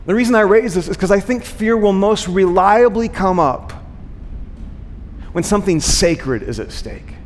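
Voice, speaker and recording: Higher-voiced male preacher in a reverberant hall. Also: animated, moderate pace, slight background noise. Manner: rhetorical questions, repeated phrases for emphasis, frequent "right?" check-ins. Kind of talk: conversational sermon to a congregation.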